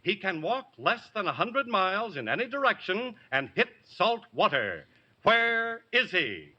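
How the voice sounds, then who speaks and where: A man playing an excitable, overbearing quiz show host with a gushing showman's delivery, in 1940s radio comedy style. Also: high voice, measured pace, lo-fi sound, full character voice. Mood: showy build-up. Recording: noisy old radio broadcast recording.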